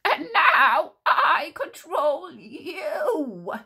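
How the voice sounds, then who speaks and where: A woman's voice: high voice, very animated, drawn-out words, sharp tone, voice pushed up, exaggerated voice. Woman doing a shrieking monstrous witch king. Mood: menacing. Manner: crooning, hissing menace. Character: monstrous male King Witch, cruel and gloating.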